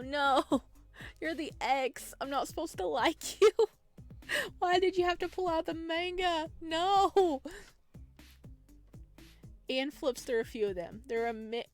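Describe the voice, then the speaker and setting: A woman with a fairly high voice hosts a let's play, reading narration aloud. She breaks into expressive, casual commentary as she goes. Soft music plays behind her. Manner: expressive, chatty asides.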